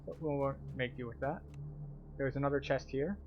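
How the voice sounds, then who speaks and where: A man's voice: mid-range voice, normal speed, lo-fi sound, noisy background. A man giving methodical, instructional commentary as the tour guide of a video game walkthrough. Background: game music.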